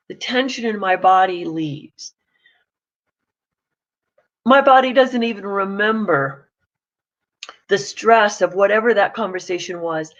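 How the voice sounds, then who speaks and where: A woman's voice: mid-range voice, dull audio. A woman coach giving a casual, plain-spoken morning talk to camera. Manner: chatty, pragmatic, plain-spoken.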